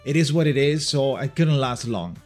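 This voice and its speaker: A man's voice: medium pitch, lively intonation, normal speed, darkish tone, soft background music. A young man from Italy with an Italian accent, chatting casually on a livestream, rambling and restarting his sentences and swearing now and then. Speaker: streamer.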